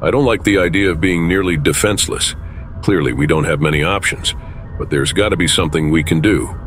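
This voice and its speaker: A man acting in an audio drama as a calm, principled starship captain, measured and authoritative, with rumble behind him. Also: faint music behind. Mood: thoughtful, decisive. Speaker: lead actor playing a starship captain.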